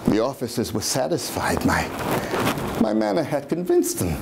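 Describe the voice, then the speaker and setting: A man in his sixties playing a guilt-ridden, mad murderer in a theatrical gothic horror reading, halting and repeating words, agitated and declamatory. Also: medium pitch, huge pitch swings, creaky, heavy background noise, voice pushed down. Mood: uneasy, confiding. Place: small indoor room in an old house, live audience.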